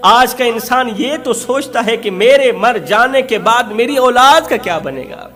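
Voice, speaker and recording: A man's voice: very high-pitched, lively intonation, measured pace, fairly bright tone, soft background music. A man preaching an emotional Islamic storytelling sermon, impassioned and emphatic, repeating phrases for effect.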